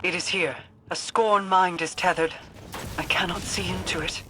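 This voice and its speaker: A woman playing a regal, cryptic and imperious otherworldly queen in a video game, speaking in measured, formal, oracular phrases. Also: lowish voice, fairly even intonation, noisy background, close to an everyday voice. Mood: cold, ominous. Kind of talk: scripted video game dialogue.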